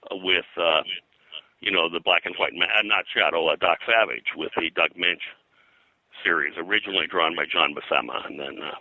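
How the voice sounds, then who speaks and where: An older man reminiscing as an interview guest in a halting, digressive way, often clearing his throat. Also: sits low, bright, forceful tone, dry recording, rough recording. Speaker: interview guest, comics historian.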